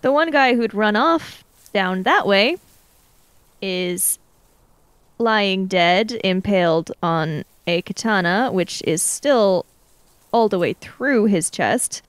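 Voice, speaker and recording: A woman's voice: mid-range voice, expressive, slow, clean tone, no echo. A woman describing a scene as a player in a tabletop role-playing game. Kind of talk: improvised game narration.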